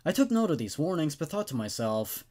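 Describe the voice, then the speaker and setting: A man's voice: a little high, sing-song, fairly quick, darkish tone. A man hosting a horror story show, moving between dramatic narration and casual review, with emphatic delivery. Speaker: host reading and reviewing horror stories.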